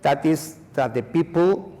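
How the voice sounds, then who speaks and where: A man's voice: on the high side, mellow. Man speaking hesitant in a hall. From Chile. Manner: halting non-native English with many fillers and repetitions. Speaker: conference speaker. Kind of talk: conference presentation. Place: conference hall. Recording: long reverb of a hall.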